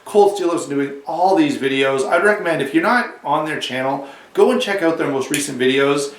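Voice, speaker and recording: A man with a cold and a slightly hoarse voice, casually reviewing a knife to camera, rambling with restarts and asides. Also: on the high side, animated, moderate pace, roomy sound, distant mic.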